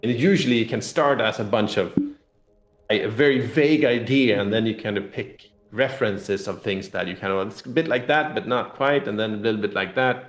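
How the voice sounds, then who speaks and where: Guy explaining his game stuff in a casual interview, lowish voice, roomy echo, repeats words as he goes. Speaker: game designer and interview guest.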